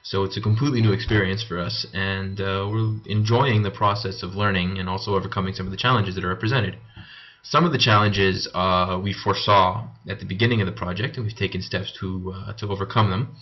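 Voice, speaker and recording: A man's voice: sits low, steady tone, a little fast, dull audio. A young Canadian man giving an informal student presentation. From Canada (Montreal). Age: young adult. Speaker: MBA student presenting a team report.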